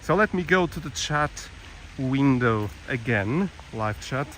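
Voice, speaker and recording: A man's voice: mid-range voice, expressive, takes it slowly, a bit bright, rough recording. Portuguese man live-streaming over outdoor noise. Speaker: live-stream walking tour host. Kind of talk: casual live vlog chat. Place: outdoors, walking through a village. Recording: clear outdoor ambience and traffic noise.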